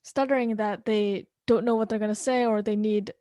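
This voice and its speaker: A woman hosting an interview who stutters herself, speaking with pauses and "um" fillers in a friendly chat. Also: medium pitch, steady tone, mellow, no echo, studio quality. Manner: stutters, speaks of her own blocks, pauses with "um". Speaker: podcast host. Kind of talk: casual interview conversation.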